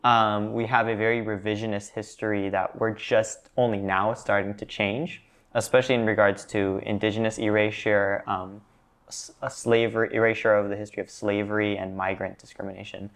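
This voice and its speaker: Thoughtful young man with a low voice. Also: level tone, brisk, fairly bright tone. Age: young adult. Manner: thoughtful, hedging with fillers. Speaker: student panelist. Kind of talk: class discussion.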